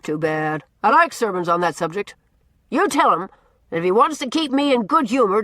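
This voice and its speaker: A woman voicing a blustering, gruff old man who booms out orders and repeats himself as he teases. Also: fairly bright tone, no room sound. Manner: booming, repeats himself, teasing.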